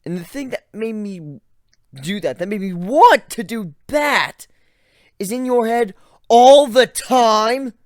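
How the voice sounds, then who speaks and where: A volatile griffin hero from a dramatic story reading, acted out by a man. He is blunt and exclamatory, his voice pushed high and swinging widely. The sound is dry. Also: expressive, slow pace, no echo. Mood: furious. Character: volatile, frazzled male griffin narrator-hero with a short temper.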